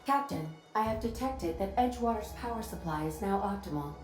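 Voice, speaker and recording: A woman playing a courteous female ship computer in a video game, speaking in a formal, measured, polite way. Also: medium pitch, lively intonation, natural voice. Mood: polite, approving. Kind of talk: video game dialogue acting. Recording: echoing voice over clear music.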